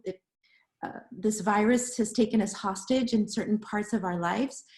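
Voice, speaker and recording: A woman's voice: medium pitch, a little mellow. A woman from Costa Rica, an artist on an online panel, sharing a personal reflection.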